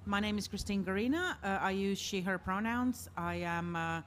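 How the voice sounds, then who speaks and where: A woman's voice: medium pitch, normal speed. A woman from Latvia moderating a live panel discussion, speaking with frequent hesitations and filler sounds. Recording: live event recording, faint background noise.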